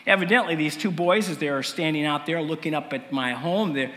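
A male preacher with a slightly rough voice, giving a story-led sermon in a conversational, halting way with frequent pauses and throat clearing. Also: on the high side, sharp tone. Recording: reverberant hall.

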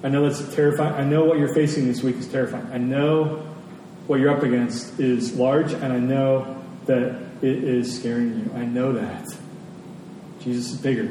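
A man preaching a sermon to a congregation, speaking emphatically and repeating phrases for effect. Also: medium pitch. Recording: very echoey hall, distant mic, faint noise.

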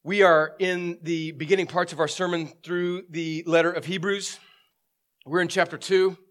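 A man's voice: on the high side, fairly bright tone. A middle-aged male pastor with a head cold and scratchy throat, preaching a sermon earnestly, explaining and reading scripture with emphasis.

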